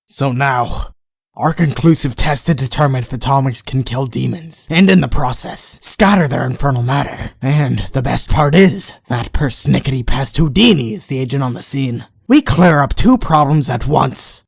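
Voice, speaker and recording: A man's voice: medium pitch, expressive, moderate pace, creaky, husky, no room sound, clean studio sound. A man voicing a gleeful, self-satisfied otherworldly schemer in a comic dub, smug and chatty.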